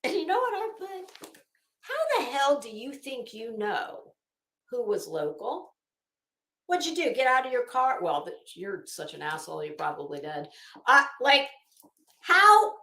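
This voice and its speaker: A woman's voice: fairly high pitch, sharp tone. A blunt woman giving sarcastic, dramatic commentary as she streams, mixing reading aloud with off-the-cuff remarks and swearing. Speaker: commentary host, live streamer. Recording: echoey, roomy sound.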